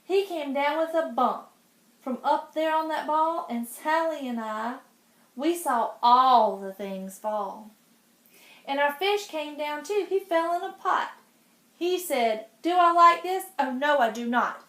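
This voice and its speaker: A woman with a high-pitched voice reads a storybook aloud. Her delivery is expressive, aimed at children. The recording has a roomy echo. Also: takes it slowly. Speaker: storybook reader.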